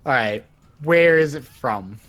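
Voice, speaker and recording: A man's voice: mid-range voice, lively intonation, slow, fairly bright tone, dry recording, lo-fi sound. A man playing a tabletop roleplaying game, chatting casually and joking with mock exasperation.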